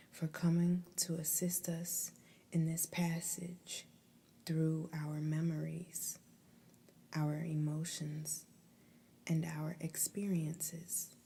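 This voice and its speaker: Female ASMR meditation host, breathy whisper, very mellow and dark, unhurried pace, soothing. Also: deep voice, slight background noise. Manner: soothing, deliberate, with pauses between phrases.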